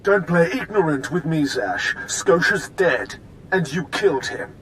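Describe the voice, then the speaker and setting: An actor playing a stern, accusing dark lord and superior in a video game, imperious and clipped. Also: male voice, fairly high pitch, lively intonation, measured pace, slight background noise. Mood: cold anger.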